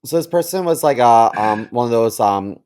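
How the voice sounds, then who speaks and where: A man telling stories haltingly in a casual, comedic podcast conversation. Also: mid-range voice, animated, darkish tone. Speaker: podcast host.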